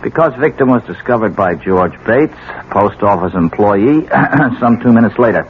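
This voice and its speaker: An actor playing a skeptical, educated young police detective with a casual, slangy manner in a 1970s radio drama. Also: male voice, fairly low pitch, expressive, normal speed. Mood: businesslike. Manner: casual, drawling hesitations, hip slang. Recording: muffled, faintly noisy old radio broadcast.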